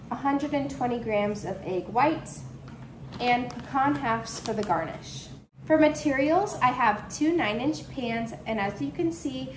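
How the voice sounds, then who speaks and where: A young woman in her home kitchen, presenting a cook-along baking tutorial and reading out measurements step by step. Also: a little high. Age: young adult. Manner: reads measurements off steadily, step by step. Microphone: camera in front at waist distance. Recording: echoey kitchen with background noise.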